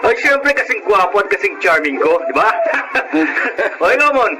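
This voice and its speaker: A man's voice: high voice, sing-song, a little slow, heavily acted. An actor playing a jolly, vain young soon-to-be father in a radio drama, exuberant and chatty. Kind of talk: radio drama barkada banter. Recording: noisy ambience behind radio drama.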